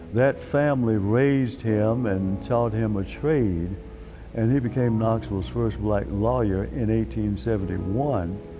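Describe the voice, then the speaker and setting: A man telling history in a storytelling interview style for a documentary. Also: fairly low pitch. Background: guitar music.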